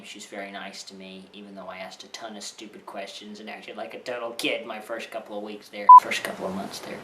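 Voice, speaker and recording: A man, a puppeteer, telling behind-the-scenes stories in a chatty, self-deprecating way, pausing often with "uh.". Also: medium pitch, sharp tone. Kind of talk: casual spoken storytelling. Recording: roomy, from a distance, faint hiss.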